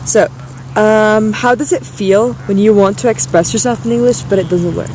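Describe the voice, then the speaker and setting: Young woman, student interviewer, friendly and steady, faint background noise. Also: mid-range voice, moderate pace, low-quality recording. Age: late teens. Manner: friendly, asks set questions.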